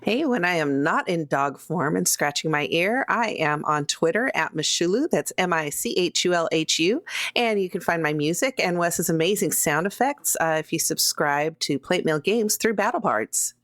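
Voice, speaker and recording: A woman player speaks in a slightly low voice with lively intonation. The sound is dry as she chats casually at a tabletop game. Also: moderate pace, no room sound.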